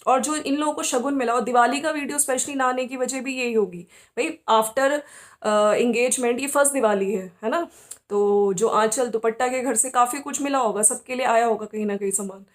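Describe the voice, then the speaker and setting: High-voiced woman giving opinionated commentary. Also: moderate pace. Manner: conversational, opinionated, often checking with listeners using tag words. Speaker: YouTube commentary host. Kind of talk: informal opinion commentary on family vloggers.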